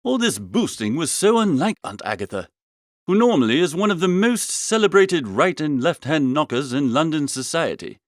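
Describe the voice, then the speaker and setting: A man's voice: fairly high pitch, very animated, normal speed, no echo, studio quality. A man reading a first-person comic story as an audiobook, with a breezy, theatrical manner. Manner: breezy, theatrical, old-fashioned upper-class idiom. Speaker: audiobook reader.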